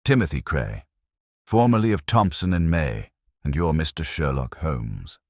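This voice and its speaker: Guilt-burdened Victorian man, deep-voiced male narrator. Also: big pitch swings, measured pace, dry recording, studio quality, exaggerated voice. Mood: quiet, resigned. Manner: quiet, uneasy, confessional. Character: thin, guilt-burdened Victorian man in his early forties. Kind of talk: dramatised story reading.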